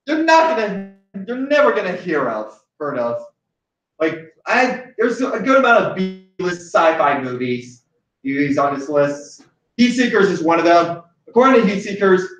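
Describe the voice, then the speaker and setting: A young man from Hawaii hosting a casual chat, rambling with many restarts and fillers. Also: high-pitched. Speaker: host. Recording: echoey room, distant mic, dull sound.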